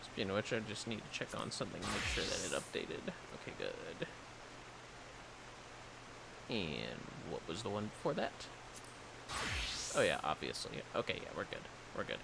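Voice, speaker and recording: Man, low gravelly voice, lively intonation, casual game commentary, a little tired, muttering to himself. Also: moderate pace, pauses often, heavy background noise. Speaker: game streamer. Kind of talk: casual livestream commentary while playing.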